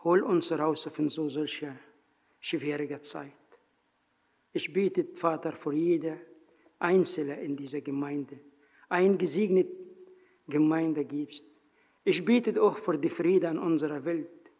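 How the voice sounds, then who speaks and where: A man with a non-native German accent preaches with earnest, halting phrasing. His high, husky voice moves slowly through the sermon and the prayer. The sound rings in a reverberant church hall and comes through dull and lo-fi. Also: a little mellow. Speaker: lay preacher. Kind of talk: sermon with personal story and prayer.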